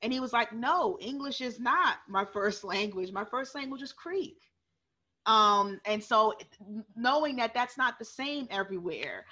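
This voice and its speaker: A young woman tells a story on a panel, her voice fairly high and ringing bright. She talks in an animated way, restarting phrases as she goes. The sound is an earphone mic on a video call from her home. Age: young adult. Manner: animated, gesturing, many restarts. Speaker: panelist author. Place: living room at home.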